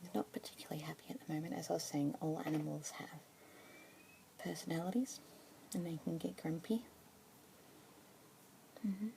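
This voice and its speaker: A young woman in a whispered ASMR roleplay as a pet adoption consultant, speaking slowly and gently in a soft unvoiced whisper. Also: deep voice, fairly even intonation, creaky, a little hiss or hum, low-quality recording.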